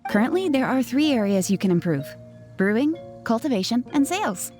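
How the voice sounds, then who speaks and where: High-voiced, courteous shop advisor. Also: female voice, sing-song, a little fast, darkish tone. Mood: helpful, explaining. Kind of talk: video game cutscene voice acting. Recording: background music under the voice.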